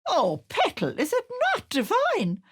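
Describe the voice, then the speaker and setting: A woman's voice: high-pitched, wildly swooping pitch, unhurried, no room sound, clean studio sound, full character voice. A woman voicing a sly old hag in a video game, sweet-talking with cloying endearments and veiled menace. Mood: sweetly coaxing. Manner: cloying endearments laced with threats. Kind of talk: video game character voice lines.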